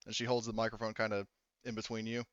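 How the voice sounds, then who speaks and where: A man's voice: fairly low pitch, completely flat delivery, fairly bright tone, dry recording. Man game mastering, slightly muffled mic. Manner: halting, conversational, casual swearing. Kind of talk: improvised narration of a tabletop game, casual.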